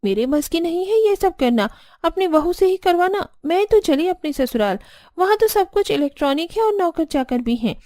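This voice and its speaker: Woman acting very high-pitched spoiled young daughter. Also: sing-song, normal speed, mellow, dry recording, clean studio sound. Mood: indignant. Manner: complaining, indignant. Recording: background music.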